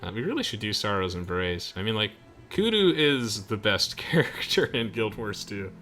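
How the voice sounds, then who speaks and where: The excitable guy leading the group through a let's play, bright voice swinging up and down over game music. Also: on the low side, moderate pace. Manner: enthusiastic, gushing. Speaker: gaming video host. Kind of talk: casual let's play commentary.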